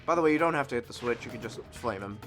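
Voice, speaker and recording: A man casually commenting on a video game he plays, sniffly from a recent sneeze, chatty and quick to swing between excited shouts and low mumbles. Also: music in the background. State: sniffly nose. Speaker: let's play host.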